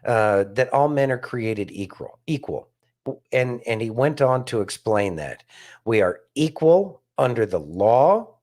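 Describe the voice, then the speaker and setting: A man's voice: mid-range voice, a little mellow. A man co-hosting a casual political discussion, speaking emphatically and repeating phrases for stress. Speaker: podcast co-host.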